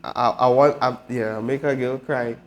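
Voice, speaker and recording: A man's voice: mid-range voice, fairly even intonation, normal speed. A man talking casually on a live talk show, telling personal stories and speaking about his faith. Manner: storytelling, laughing, faith-focused. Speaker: show regular sharing testimony.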